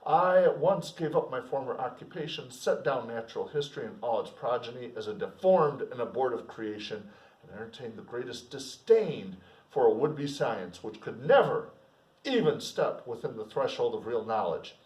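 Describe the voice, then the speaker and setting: A man with a medium-pitched voice reads literature aloud as a teacher would. His delivery is expressive and explanatory, moving between formal text and informal asides. He sounds set back from the microphone in an echoey room. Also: moderate pace. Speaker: teacher reading aloud with commentary. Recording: roomy echo, distant microphone.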